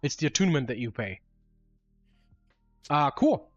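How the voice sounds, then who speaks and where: A man's voice: a little high, very animated, normal speed, no room sound, soft background music. Man game master, dry dull mic. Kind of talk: casual rules discussion during an online tabletop game. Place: online voice call.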